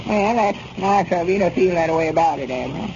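A man's voice: sits high, fairly quick. A man playing a humble, doubtful old country grandpap in 1930s radio comedy, with a rural Arkansas accent. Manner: rustic, hesitant, plain-spoken. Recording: noisy muffled 1930s radio recording.

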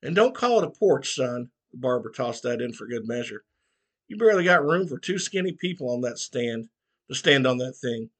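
A man's voice: medium pitch, animated, moderate pace, a bit bright. A man voicing an old small-town barber, folksy and scolding.

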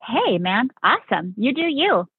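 A woman's voice: a little high, big pitch swings, a little slow, breathy edge, no room sound. A woman, a Navy veteran guest on a podcast, telling stories in a rambling casual way, full of "like" and "right?". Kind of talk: casual storytelling chat. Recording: tinny phone-like remote line.

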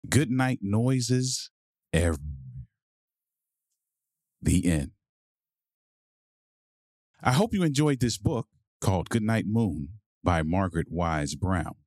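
A man hosting a podcast and reading a children's picture book aloud in a measured, deliberate bedtime-story delivery. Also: low voice, sing-song, a little mellow, dry recording, clean studio sound.

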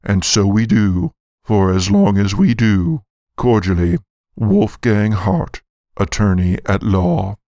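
A man voicing a courteous, formal attorney with a measured delivery in an audio drama. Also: fairly low pitch, sing-song, mellow, no echo, studio quality, full character voice. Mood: formal, cordial.

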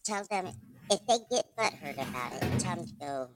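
A woman's voice: animated, moderate pace, over music. A woman chatting casually in a playfully blunt, mock-tough way. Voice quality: squeaky at times. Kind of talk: casual chat.

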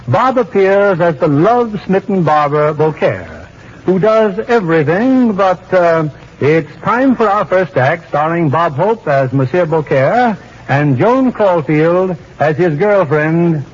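A man's voice: on the high side, husky. A man hosting and narrating a 1940s radio show in a formal, showmanlike scripted style. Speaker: producer and host narrator. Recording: muffled 1940s radio broadcast with music.

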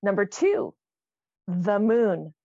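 Creaky woman, slightly dull sound. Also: mid-range voice, very animated, slow pace, mellow, no echo. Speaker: podcast co-host, quiz reader. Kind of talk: casual banter, reading out quiz items.

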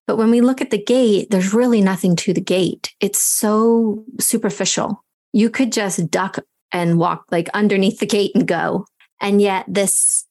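A woman hosting a podcast and giving an intuitive reading, her voice a little raspy today, talking in a gentle, unhurried way full of imagery. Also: medium pitch. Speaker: podcast host and intuitive reader. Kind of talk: guided intuitive reading, descriptive.

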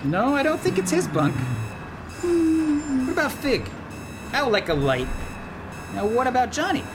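A man playing an earnest, excitable, sweetly fussy band leader in a comedic radio play, theatrical and exclamatory. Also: very high voice, measured pace, background noise, full character voice. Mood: bewildered, annoyed. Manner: theatrical, exclamatory, talks to himself.

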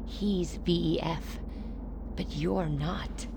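A woman's voice: on the low side, steady tone, extremely slow, creaky, noisy background, heavily acted. A woman acting in a radio drama as a hard, sardonic chairwoman who commands with blunt authority. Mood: cool, wry.

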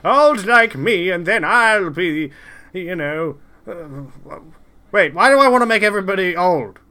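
A man voicing a cranky, forgetful old villain who rants and shouts in a reedy voice during a comedy tabletop game. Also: expressive, unhurried, exaggerated voice. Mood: ranting. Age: older. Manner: shrill, ranting, confused.

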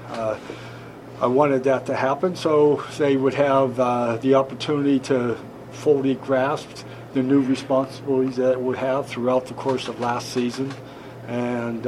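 A man's voice: medium pitch, noisy background. Hesitant male team executive. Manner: hesitant, frequent uh fillers, throat clearing. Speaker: team president. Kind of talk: interview clip.

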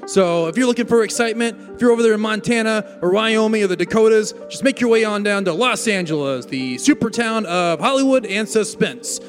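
An earnest, high-voiced suspense announcer for a parody radio play, put on by a man. He overblows his hype in halting, repeated phrases, with faint music along with him. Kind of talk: 1940s radio show parody narration.

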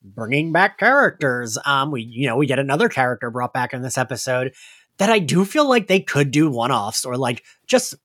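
A man with a mid-range voice and very lively, swooping intonation co-hosts a podcast. He chats casually as a fan, working out his thoughts aloud with hesitant phrasing. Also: mid-range voice, normal speed. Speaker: podcast co-host.

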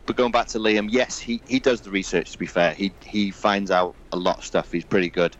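A man's voice: fairly low pitch, steady tone, a little fast, muffled recording. A man from Wales hosting a podcast, chatting casually and enthusiastically with a guest. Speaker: podcast host. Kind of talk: casual chat.

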